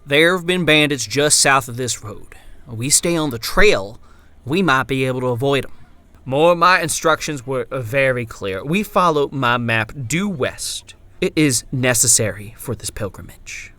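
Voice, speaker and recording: A man's voice: mid-range voice, lively intonation, measured pace, bright, forceful tone, no room sound. A man voicing a stern, menacing cult preacher in a horror audio story, speaking in a commanding, solemn way. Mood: stern, chiding.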